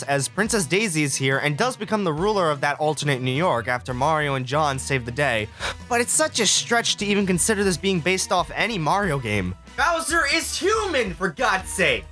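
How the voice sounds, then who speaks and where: A man's voice: a little high, a bit bright. A man hosting a casual scripted video review, speaking in an exasperated, sarcastic way and swearing freely. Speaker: video game reviewer and host.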